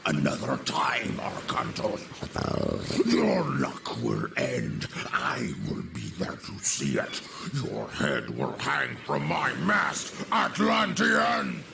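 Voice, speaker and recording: Gravelly, menacing monster pirate villain. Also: male voice, lowish voice, huge pitch swings, reverberant, heavy background noise, exaggerated voice. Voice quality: gravelly, growling. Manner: slow, threatening taunts. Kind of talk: video game cutscene acting.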